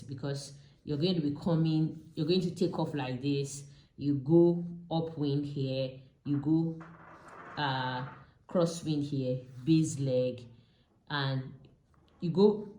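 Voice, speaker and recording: A woman with a Nigerian accent speaks in a low, mellow voice. She teaches informally, like an instructor at a diagram, going step by step and repeating key terms. The room around her is noticeably echoey. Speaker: pilot and aviation instructor. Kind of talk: informal explanatory lesson with a drawn diagram.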